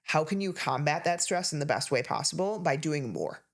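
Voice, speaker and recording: A woman in her thirties co-hosting a health podcast, talking casually and listing symptoms rapidly with emphasis. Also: low voice, animated. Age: 30s. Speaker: podcast co-host, health coach.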